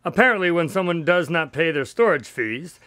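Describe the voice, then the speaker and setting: A man's voice: a little high, lively intonation, normal speed, a bit bright, no room sound. Good-natured storytelling doctor male actor, radio play: formal, wry, swooping intonation, very dry close recording. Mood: eager, persuading. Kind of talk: radio drama acting and storytelling.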